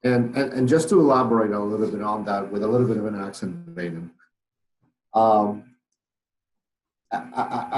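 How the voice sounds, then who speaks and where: Polish-accented man, low voice, echoey room. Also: a little mellow, a little hiss or hum. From Poland. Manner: thoughtful, many fillers and restarts. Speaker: guest, business owner. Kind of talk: podcast interview.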